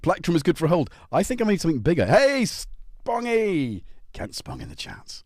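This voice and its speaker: A man's voice: a little high, huge pitch swings, moderate pace, darkish tone, dry recording, clean studio sound. A middle-aged man from North Wales with a North Welsh accent, chatting casually with viewers on a livestream and joking as he greets them by name. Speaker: livestream host. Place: home.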